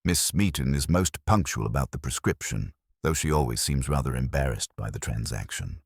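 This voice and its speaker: A man voicing a careful village chemist who talks in a measured, formal, faintly gossipy way. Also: extremely low pitch, expressive, gravelly, husky, no echo, clean studio sound, heavily acted. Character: careful, discreet male village chemist with old-fashioned courtesy.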